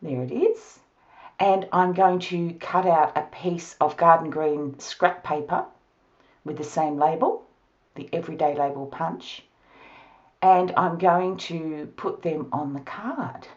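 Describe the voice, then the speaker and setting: A woman with an Australian accent, hoarse from a cold, explaining a card-making project step by step with a chatty, laughing manner. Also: low-pitched, slow, darkish tone, dull audio. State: hoarse, losing her voice. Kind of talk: crafting tutorial narration. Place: home craft studio, at a table.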